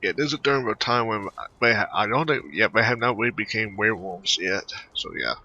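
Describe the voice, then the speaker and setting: A man with a low but very sharp, bright voice narrates his game playthrough. He talks casually and haltingly, tripping over words, on slightly dull lo-fi audio. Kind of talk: casual game commentary, reading game text aloud.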